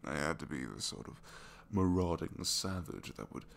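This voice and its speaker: A captured supervillain played by a man confides in a low voice that swings expressively up and down. He is bashful, self-deprecating and smitten, halting and dropping into whispers as in an intimate ASMR roleplay. A faint hum sits behind him. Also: animated, creaky, exaggerated voice. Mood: earnest, vulnerable. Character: captured young male supervillain, reluctantly evil, bashful and lovestruck. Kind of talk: ASMR romantic audio roleplay.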